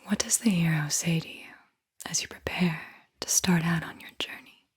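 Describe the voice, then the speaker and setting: A woman whispering a guided visualization in an ASMR style, with a breathy, airy voice and a soothing, deliberate delivery. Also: lowish voice. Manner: slow, soothing, deliberate delivery with repeated phrases. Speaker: host, guided visualization narrator.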